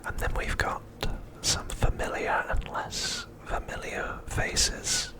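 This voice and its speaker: An ASMR host giving an unscripted show-and-tell commentary in a breathy, unvoiced whisper, hushed and unhurried. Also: extremely high pitch, completely flat delivery.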